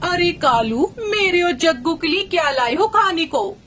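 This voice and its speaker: Shrill-high demanding crow wife played by a woman. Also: animated, a little slow, heavy background noise. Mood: complaining. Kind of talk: Hindi animated moral-story dialogue.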